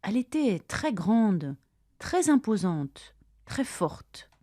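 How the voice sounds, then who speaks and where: A woman reading her part of a scripted dialogue for language learners, speaking carefully and deliberately, each line said twice. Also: medium pitch, very animated, mellow, dry recording, studio quality. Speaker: language-lesson dialogue reader.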